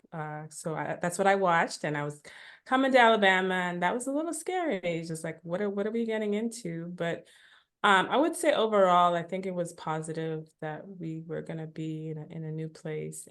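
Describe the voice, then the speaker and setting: A woman from Africa, a podcast guest, telling her immigration story in a reflective, casual way, full of "you know" asides. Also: fairly low pitch.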